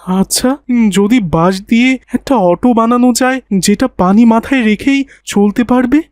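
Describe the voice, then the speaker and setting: An actor voicing an earnest, pious fifteen-year-old village boy in a cartoon, speaking deliberately. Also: mid-range voice, darkish tone, no room sound, clean studio sound, lightly acted. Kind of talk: cartoon voice acting.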